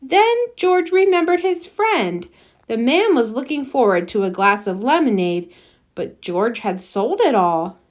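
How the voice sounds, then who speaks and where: High-voiced woman reading a children's storybook expressively. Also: lively intonation, measured pace. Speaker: storybook reader. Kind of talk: children's picture book read-aloud.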